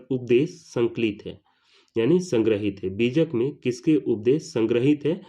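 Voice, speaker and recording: A man with an Indian accent delivers an exam lesson as a teacher, in question-and-answer form. His voice is dark and mellow at an average pitch. He repeats questions and answers deliberately, and the recording sounds a little dull.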